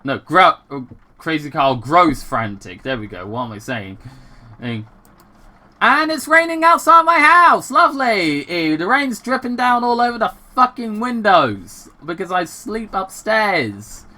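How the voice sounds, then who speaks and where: A person casually reading fan fiction aloud with commentary, halting and repeating words, with some swearing. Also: medium pitch, sing-song, pushed and bright. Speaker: gaming channel host reading fan fiction.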